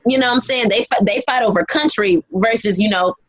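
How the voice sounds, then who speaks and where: Animated young woman, fairly high voice. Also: fairly quick, dull audio, over a noisy crowd. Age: young adult. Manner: animated, laughing storyteller. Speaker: podcast co-host. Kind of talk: casual chat.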